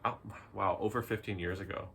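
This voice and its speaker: A man's voice: on the low side, fairly even intonation, brisk, creaky, fairly bright tone, slightly rough. A man chatting casually in a laid-back, hesitant and loosely phrased way. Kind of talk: casual chat.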